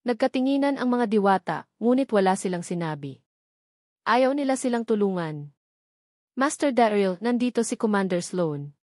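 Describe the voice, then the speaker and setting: A woman's voice: medium pitch, darkish tone, no room sound, clean studio sound. A woman reading a novel aloud as a scripted narration. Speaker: audiobook narrator.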